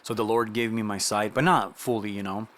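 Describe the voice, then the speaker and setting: A man's voice: on the low side, steady tone, fairly quick, fairly bright tone. A middle-aged man from Romania telling a personal story in a casual, conversational way, often checking in with the listener. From Romania, moved to the United States at nine. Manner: conversational, checks in with listener with "you know" and rhetorical questions. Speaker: vlogger sharing personal testimony.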